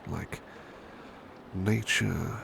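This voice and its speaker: A devoted, protective British butler, acted by a man for an ASMR roleplay, with an extremely low voice full of heavy creak. He speaks very slowly and softly, in formal, courteous phrases that often sink toward a whisper. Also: full character voice. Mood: wistful, calm. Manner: formal, courteous, hushed and hesitant, with long pauses. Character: devoted, gentle, protective male butler of an estate. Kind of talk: ASMR roleplay, soft intimate speech slipping into whispers. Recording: clear steady rain-like background noise.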